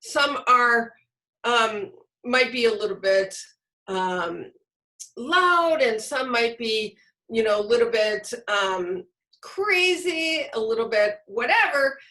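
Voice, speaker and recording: A woman giving an informal instructional presentation, haltingly searching for her words. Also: fairly high pitch, animated, sharp tone. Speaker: teacher leading a training session.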